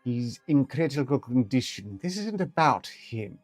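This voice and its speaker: Man playing a measured woman researcher. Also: medium pitch, very animated, no echo, faint music behind. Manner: slow, measured, level. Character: calm female researcher interviewing a creature.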